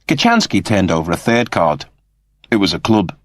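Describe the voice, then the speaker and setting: A man's voice: sits low, sing-song, moderate pace, no echo, studio quality. A man giving a scripted narration of comic science fiction as an audiobook narrator.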